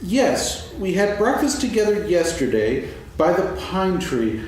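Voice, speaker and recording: A man's voice: sits high, big pitch swings, unhurried, a little mellow. Man playing hesitant, earnest bear, echoey and distant. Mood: earnest, muddled. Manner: hesitant, bumbling, repeats himself. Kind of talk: staged dramatic reading for children's story. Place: on stage, seated reading. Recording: echoey stage, distant mic, faint noise.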